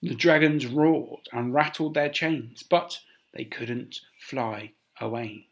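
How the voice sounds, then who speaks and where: A man reading a children's story aloud as a teacher, expressive and with dramatic emphasis. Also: medium pitch, takes it slowly, creaky.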